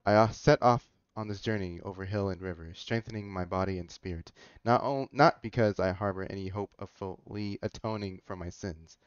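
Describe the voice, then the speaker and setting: A man with a deep, smooth, clear voice commentates a game and reads its text aloud. The sound is dry, close and slightly dull, with faint music behind. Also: moderate pace, hardly any pauses, dry recording. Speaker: gamer commentating a playthrough.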